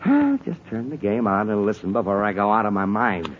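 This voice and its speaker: A man's voice: fairly low pitch, sing-song, fairly quick. An actor playing an exasperated, hot-tempered middle-aged father in a blustery, sarcastic 1940s radio comedy style. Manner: blustery, sighing, sarcastic muttering. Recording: muffled, noisy 1940s radio recording.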